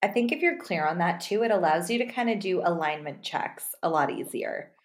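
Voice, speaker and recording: A woman's voice: on the low side, expressive, a little fast. A woman hosting a podcast interview, asking questions in a chatty, affirming way with many fillers. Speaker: podcast host.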